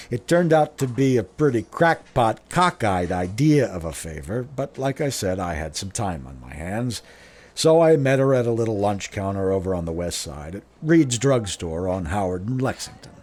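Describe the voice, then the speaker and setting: A man's voice: lowish voice, big pitch swings, normal speed, darkish tone. An actor playing a blunt, wry older Irish-American man telling a rambling, slangy yarn in a radio drama. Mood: chatty, reminiscing. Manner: slangy, chatty, rambling with self-corrections and chuckles. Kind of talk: radio drama narration, folksy first-person yarn.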